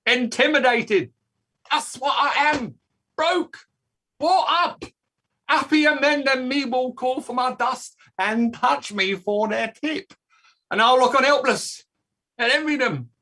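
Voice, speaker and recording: An actor playing an aggrieved old dustman with a raspy voice, declaiming his complaints in a staged reading. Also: extremely high pitch, slow, sharp tone, exaggerated voice. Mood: resigned lament. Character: blustering, aggrieved old working-class English dustman.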